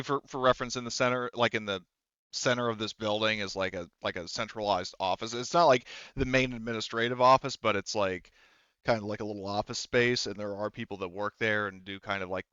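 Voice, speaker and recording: Male game master, low smooth voice, halting explanatory delivery, close dry but slightly dull recording. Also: fairly bright tone, no echo. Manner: halting, explanatory, circles back and restarts sentences. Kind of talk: casual improvised tabletop game narration.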